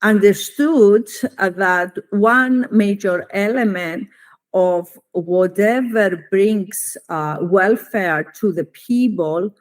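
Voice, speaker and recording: A middle-aged woman with a Greek Cypriot accent, a native Greek speaker, giving formal, deliberate academic answers as an interview guest, with frequent pauses. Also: medium pitch. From Cyprus. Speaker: economics professor, interview guest.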